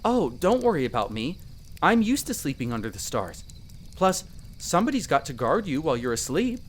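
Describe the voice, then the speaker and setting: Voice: mid-range voice, sing-song, normal speed, a little hiss or hum, close to an everyday voice. A performer playing a friendly, protective young elf explorer in a roleplay audio drama, chatty and reassuring, speaking to the listener. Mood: warm, reassuring.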